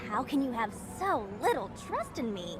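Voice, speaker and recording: Bubbly, high-voiced anime mechanic girl. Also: very animated, normal speed, noisy background. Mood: confident, playful. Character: eager, confident young female mechanic in an anime game. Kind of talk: video game character acting. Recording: music behind the voice.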